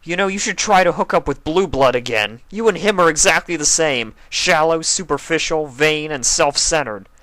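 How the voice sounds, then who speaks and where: A heartbroken young male pony lashes out loudly and bitterly, as a man reading a storybook puts him on. The voice climbs high with the anger. The sound is dry and close. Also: normal speed, few pauses, no room sound, rough recording. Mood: angry. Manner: loud, angry.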